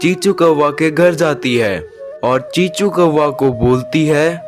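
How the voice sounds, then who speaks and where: A man's voice: a little high, takes it slowly, over music. A man reading scripted narration as the storyteller of a children's moral story.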